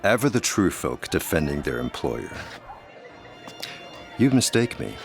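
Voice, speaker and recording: An actor playing a suave, calculating aristocratic gentleman in a gothic audio drama, speaking in courtly, formal phrases. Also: rumbling low, sing-song, measured pace, soft background music, exaggerated voice. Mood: cool, pragmatic.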